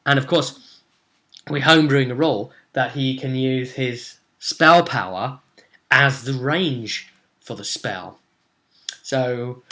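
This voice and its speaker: A young man talks casually to the camera as a game master. He tells the story of a tabletop session with dramatic emphasis, rambling along as he goes. His pitch is average for a man. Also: sharp tone. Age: young adult. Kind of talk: animated casual recap of a tabletop role-play session. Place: small room at home, indoors.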